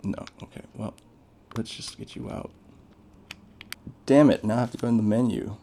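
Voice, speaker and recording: A man's voice: medium pitch, fairly even intonation, normal speed, gravelly, mellow, a little hiss or hum. A man giving casual, off-the-cuff unboxing commentary as a tech reviewer, laid-back and informal with plenty of slang.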